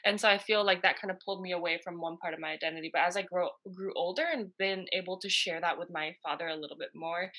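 A woman speaking as a guest in a relaxed conversation, earnest and quick to laugh. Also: fairly low pitch. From United States. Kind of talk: casual conversation.

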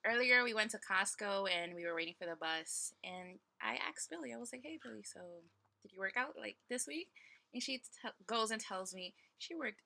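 A young woman in her twenties co-hosts a casual podcast in a very bright, forceful voice with some creak at the edges. Her talk is playful and full of friendly slang. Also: medium pitch, animated, normal speed. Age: 20s. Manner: playful, slangy girlfriend banter. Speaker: podcast co-host.

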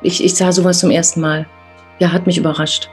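A woman with a slightly low voice recalls her impressions in an interview. She halts and repeats words, with soft guitar music behind her. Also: little pitch movement, brisk, slightly rough. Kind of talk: spontaneous personal recollection.

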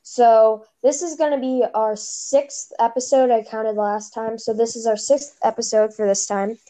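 A woman's voice: a little high, steady tone, measured pace, mellow. A woman hosting a casual podcast over a phone, chatty and rambling, with a slight lisp. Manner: chatty, rambling, restarts often. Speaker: podcast host.

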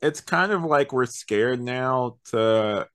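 A man's voice: medium pitch, lively intonation, takes it slowly, fairly bright tone, clean tone, dry recording, studio quality. A man hosting a podcast, chatting casually about a review and rambling as he thinks aloud. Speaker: podcast host.

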